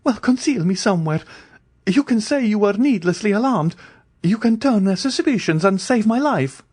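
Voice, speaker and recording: A man's voice: high voice, expressive, moderate pace, darkish tone, slightly rough, voice pushed up. A man voicing a desperate young male fugitive who pleads wildly and then turns insolent. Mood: pleading. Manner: frantic, pleading, later insolent.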